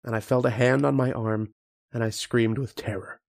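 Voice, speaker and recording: An actor playing a haunted, fearful scientist who narrates his ordeal in a dramatic, halting horror radio monologue. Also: mid-range voice, fairly even intonation, gravelly, lightly acted. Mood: hushed dread. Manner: dramatic, halting, repeating phrases.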